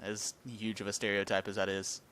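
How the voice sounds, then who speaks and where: A man's voice: lowish voice, monotone, fairly quick, a bit bright, clean tone, no room sound. A man with a Southern US accent, co-hosting a casual gaming podcast, often stumbling and repeating words as he steers the topics. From Southern United States.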